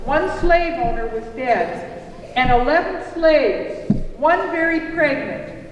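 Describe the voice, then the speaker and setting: A woman's voice: sits high, slow, muffled recording, background noise. A woman narrating a puppet show, telling a story for children. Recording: echoey hall from far off, music.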